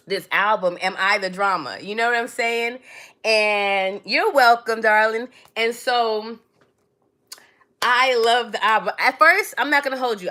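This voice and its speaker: A woman's voice: fairly high pitch, sharp tone. A woman, born in Trinidad and raised in New York City, chatting casually to viewers on her own livestream and calling them darling and baby. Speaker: livestream host.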